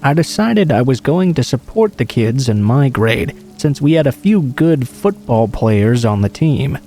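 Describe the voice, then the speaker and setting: A man reading true scary stories aloud as a scripted narration, in a steady, low-key delivery. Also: unhurried, soft background music. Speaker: horror story narrator.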